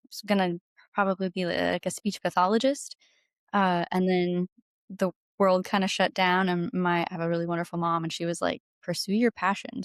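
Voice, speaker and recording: Lower-pitched, bubbly young woman. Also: clean tone, dry recording, studio quality. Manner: bubbly, gushing, frequent "like". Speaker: guest singer-songwriter and violinist. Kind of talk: casual interview chat.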